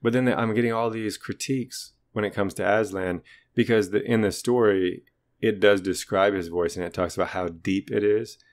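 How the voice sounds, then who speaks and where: Deep-voiced man in casual chat. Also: steady tone, moderate pace. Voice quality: naturally deep voice. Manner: rambling and self-deprecating, with many restarts. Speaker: podcast co-host.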